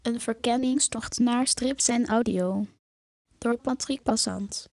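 A woman's voice: on the high side, slow pace, a little mellow, no echo, clean studio sound. A woman announcing a podcast in a scripted title read.

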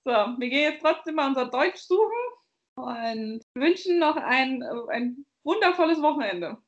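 A woman's voice: sits high, animated, normal speed, highs cut off. A woman from Bavaria co-hosting a podcast, chatting casually, rambling and laughing often. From Bavaria, Germany. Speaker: podcast co-host. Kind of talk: casual chat.

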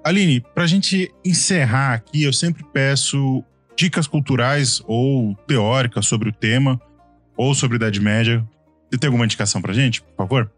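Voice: medium pitch, moderate pace, breathy edge, faint music behind. Interview host asking for recommendations. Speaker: podcast host. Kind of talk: interview hosting.

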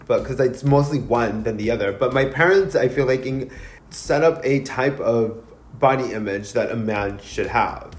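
A man in his thirties co-hosting a podcast. He talks openly and casually at a middle pitch, laughing easily and dropping the odd curse. His voice rings in an echoey room, with faint music behind it. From Mexican heritage. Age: 30s. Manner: candid, laughs often, swears casually. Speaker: podcast co-host. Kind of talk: casual chat.

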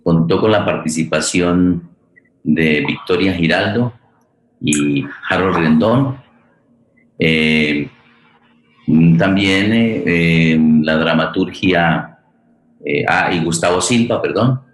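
A man with a Colombian accent hosting a conversational forum, halting and correcting himself as he goes. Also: low-pitched, roomy sound. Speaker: host and radio theatre director.

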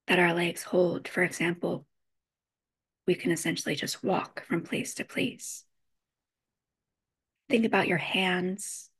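A woman with a slightly low voice leads a guided meditation. She speaks in short, measured phrases and leaves frequent pauses, soothing the listener as she gives each instruction. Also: breathy edge.

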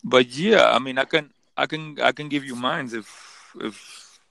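A young man from the Dominican Republic hosting a casual podcast chat. His voice is gravelly and he rambles, restarting his sentences often. The sound is dry and close. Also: mid-range voice, measured pace, sharp tone, dry recording. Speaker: podcast host.

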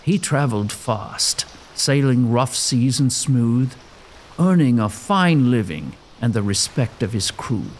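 A man's voice: mid-range voice, lively intonation, takes it slowly. A man playing a storyteller who narrates a gothic sea legend in a dramatic scripted style. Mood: storytelling.

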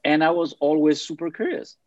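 A man shares his background as an interview guest, pausing on fillers and restarting his sentences. The recording is dry and dull, with the muffled sound of lo-fi call audio. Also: fairly high pitch, no echo. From France. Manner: thoughtful, hesitant with fillers and restarts. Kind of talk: casual personal storytelling in interview.

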